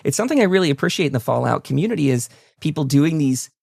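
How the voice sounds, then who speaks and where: Man, podcast host, casual interview, enthusiastic, rambling, some vocal fry, dry sound. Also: fairly high pitch, lively intonation, very fast, a little mellow, no echo. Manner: enthusiastic, complimentary.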